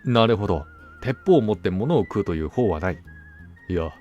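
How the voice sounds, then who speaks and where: Man acting a self-assured, gullible gentleman hunter, animated. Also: deep voice, fairly quick, highs cut off, soft background music. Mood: musing, satisfied. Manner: confident, musing, conversational. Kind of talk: storybook dialogue acting.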